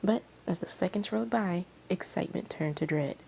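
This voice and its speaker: A woman reading fan fiction aloud as an expressive storyteller. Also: fairly low pitch, fairly quick, vocal fry. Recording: amateur recording with background noise.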